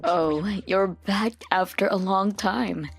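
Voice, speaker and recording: Lady voicing thankful older auntie type, really slow and gentle, music behind. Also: mid-range voice, creaky, husky, exaggerated voice. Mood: gentle, grateful.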